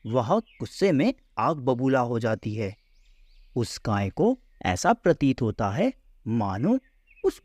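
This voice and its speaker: Slow, animated male storyteller. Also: mid-range voice, dry recording. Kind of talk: scripted children's story narration.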